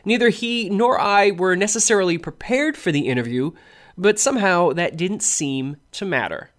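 A man hosts the show and reads a prepared script in a measured, reflective storytelling voice. His pitch is slightly high for a man. He sounds steady and composed throughout. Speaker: radio show host. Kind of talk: scripted narration.

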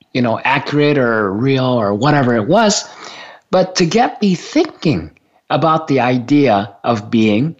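A man from Japan tells a story in easy conversational talk. His voice sits a little high and swings widely in pitch, with frequent chuckles and repeated words. The recording is dull and lo-fi. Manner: amused, laughs often, repeats words. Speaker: guest on spiritual talk show. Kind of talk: casual storytelling. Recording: dull lo-fi sound.

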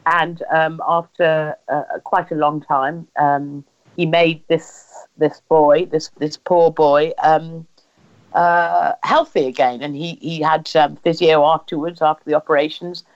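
A woman's voice: sits low, level tone, measured pace. English woman storytelling, slightly dull recording. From England. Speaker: interviewee recounting family history. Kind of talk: personal storytelling in conversation.

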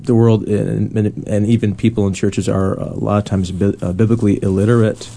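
A man's voice: lowish voice, little pitch movement, fairly quick, creaky, warm tone. A man on a discussion panel, explaining casually and loosely, restarting phrases as he goes. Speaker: panelist on a Bible study discussion.

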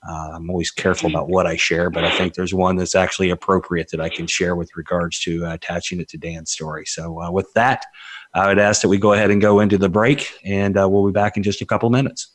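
A man's voice: sits low, level tone, fast talker, no room sound. A man hosting a live radio talk show, speaking conversationally and hesitantly with many "uh"s.

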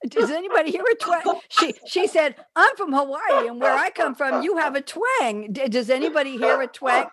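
A woman's voice: high-pitched, animated, normal speed, a loud crowd. An older woman with a New York accent, hosting a radio talk show and telling rambling stories with frequent laughter. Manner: chatty, rambling storyteller, laughs often. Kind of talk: casual chat with guests.

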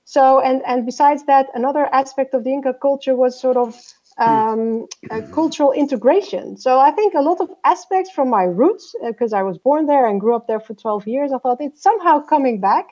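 A woman's voice: high-pitched, moderate pace, a little mellow, muffled recording. A businesswoman guest, raised in Peru, introducing herself in a podcast interview with many hesitant fillers. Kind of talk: interview answer.

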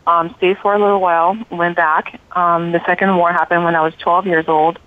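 Brief: forties woman from Liberia, calm storyteller, phone-line sound. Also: lowish voice, a little fast. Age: 40s. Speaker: guest. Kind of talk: telling her life story.

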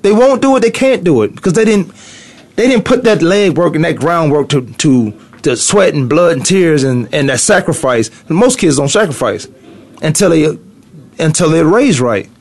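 A man's voice: a little high, expressive, moderate pace, a little mellow. A middle-aged man hosting a morning sports talk radio show from a studio, rambling and thinking aloud as he goes. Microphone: studio mic.

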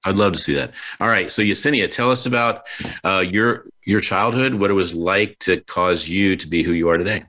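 Low-voiced, chatty male show host. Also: normal speed, hardly any pauses, sharp tone. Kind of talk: conversational interviewing. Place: video call.

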